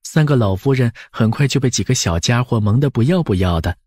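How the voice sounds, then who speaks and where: A man narrating an audiobook as expressive scripted storytelling, with a husky voice. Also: medium pitch, fairly quick, no echo, clean studio sound.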